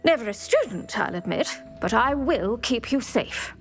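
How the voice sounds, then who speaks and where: An actor voicing an older retired Auror woman who speaks briskly and formally in scripted game dialogue. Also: a little high, sing-song, faint music behind. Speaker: retired Auror guiding a student.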